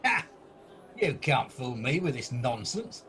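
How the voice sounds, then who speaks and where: A man's voice: medium pitch, very animated, takes it slowly, a bit bright. A man playing a stubborn, grumpy, miserly older man in an amateur radio play, blustering and scornful. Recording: noisy home video-call recording.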